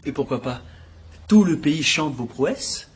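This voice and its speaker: A courteous young inspector. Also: male voice, on the high side, lively intonation, a little slow, a little hiss or hum. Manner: courteous, warm, respectful. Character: polite young male police inspector. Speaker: police inspector. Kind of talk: dubbed drama acting.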